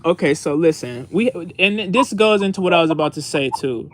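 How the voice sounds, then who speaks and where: An American man with a slightly high voice talks casually as a podcast co-host. He rambles earnestly over an online call with faint noise behind him. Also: lively intonation, a little fast. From United States.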